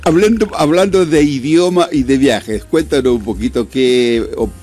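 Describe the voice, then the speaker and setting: A man's voice: mid-range voice, moderate pace, darkish tone, soft background music. A Chilean man in his sixties hosting an interview, encouraging his guest and finishing his words. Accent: Chilean Spanish. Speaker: host, retired teacher. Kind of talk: interview questions.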